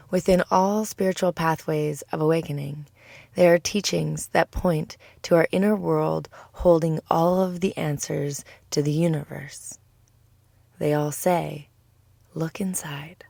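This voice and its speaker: A woman with a low, mellow voice guides a yoga nidra practice. She phrases everything deliberately and soothingly, like a teacher leading relaxation. The recording is close and dry, with no room sound. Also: gravelly, dry recording. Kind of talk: guided meditation narration.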